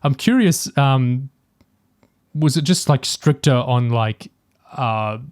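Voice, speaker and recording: A man's voice: medium pitch, lively intonation, slow pace, no room sound, studio quality. A man in a casual review discussion as a podcast host, thinking aloud in a hesitant way.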